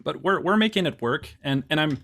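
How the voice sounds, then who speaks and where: Fast-talking Canadian musician on a call. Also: male voice, a little high, expressive, fairly bright tone. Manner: quick, restarts often, self-deprecating laughs. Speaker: musician guest. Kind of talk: casual interview chat.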